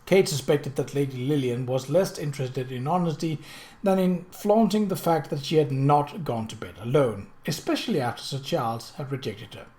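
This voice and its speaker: A storyteller reads a period mystery novel in a formal, expressive way. The voice sits at a middle pitch, between low and high. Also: normal speed. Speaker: audiobook reader.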